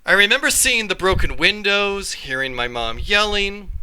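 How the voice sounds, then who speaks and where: A man reading a children's story aloud in an animated, expressive way, with dramatic pauses. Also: high-pitched, smooth. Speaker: read-along storyteller, teacher.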